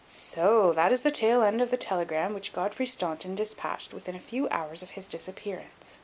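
A woman voicing a shrewd, composed gentleman detective in an audiobook, measured, articulate and dryly superior. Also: mid-range voice, slight background noise, natural voice, low-quality recording. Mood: deductive, intent. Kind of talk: audiobook character acting.

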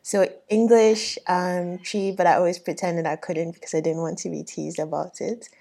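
A woman's voice: lowish voice, flat delivery, normal speed, gravelly, dark tone. A woman from Ghana in casual, self-deprecating conversation. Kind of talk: casual chat.

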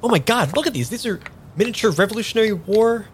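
Man playing an eager, halting amnesiac guy. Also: high-pitched, lively intonation, a little hiss or hum. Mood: excited wonder. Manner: halting, repeats himself. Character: eager amnesiac man, pushy, boyishly enthusiastic tarot querent. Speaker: actor in improvised audio drama. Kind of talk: naturalistic improvised radio drama.

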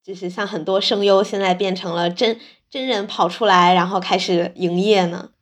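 A woman's voice: mid-range voice, fairly even intonation, normal speed. A woman co-hosting a casual podcast discussion, posing questions and arguing her point with examples. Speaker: podcast host.

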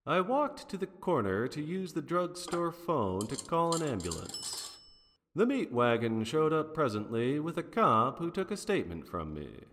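A man's voice: on the high side, very animated, unhurried, fairly bright tone. A man playing a wry, unflappable private detective in a 1940s noir radio drama, with a theatrical, wordy manner. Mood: sombre, wry. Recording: long reverberant hall sound.

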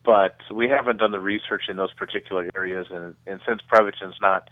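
Male expert guest, conversational explainer, lower pitch, narrow telephone sound. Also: steady tone, fairly quick, no echo. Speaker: interview guest, researcher. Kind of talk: explanatory interview talk. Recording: narrow phone-like line.

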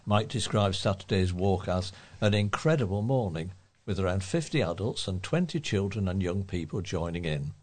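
A man with a fairly low voice reads community news from a written article. He works as a volunteer reader, delivering the scripted report aloud. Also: animated, moderate pace. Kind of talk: read-aloud local newspaper report.

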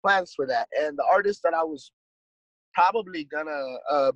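A man's voice: fairly high pitch, normal speed, fairly bright tone, no room sound, highs cut off. A man and musician guest in a casual conversation, talking in a laid-back, rambling way with lots of swearing and "dude". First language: English.

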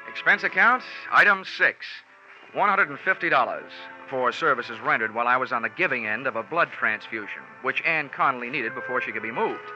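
An actor playing a wry, hard-boiled male insurance investigator in a 1940s radio drama, narrating with deadpan sarcasm. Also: medium pitch, fairly quick, natural voice. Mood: dry, sardonic. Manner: deadpan, sardonic. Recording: dull 1940s radio recording with music.

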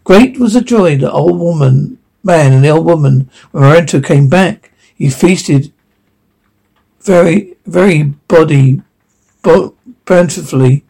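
A man reading a fairy tale aloud in a halting way, sometimes stumbling and correcting himself. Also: fairly high pitch, vocal fry, mellow, husky, low-quality recording. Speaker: story reader.

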